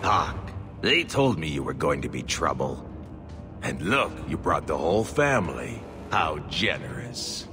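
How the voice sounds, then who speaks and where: An actor playing a menacing, fanatical dwarf cult leader in a fantasy video game, taunting and zealous. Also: male voice, a bass voice, big pitch swings, unhurried, over music, full character voice. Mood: taunting menace. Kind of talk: dramatic fantasy game acting.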